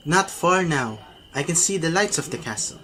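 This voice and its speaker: A man's voice: on the high side, expressive, moderate pace, pushed and bright, a little hiss or hum, low-quality recording. A man playing a noble father in an amateur radio drama. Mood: calm. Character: noble father out riding, Banquo.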